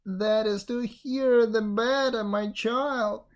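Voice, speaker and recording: A woman's voice: on the high side, steady tone, slow, voice pushed up. A woman voicing a sly male wolf in a fairy tale, coaxing with mock tenderness.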